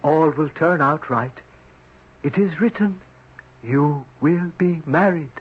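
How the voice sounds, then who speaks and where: Sly servile demon, old radio drama, slow formal delivery, fairly high husky voice. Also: male voice. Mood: politely sly. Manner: formal, clipped, servile phrasing. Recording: dull lo-fi old radio recording.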